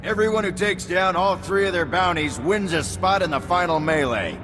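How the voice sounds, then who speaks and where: A man's voice: high-pitched, normal speed, lightly acted. An actor playing a scornful male hunt announcer who barks orders at a crowd of hunters, in a game cutscene. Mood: contemptuous. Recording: game audio, long reverb, music behind.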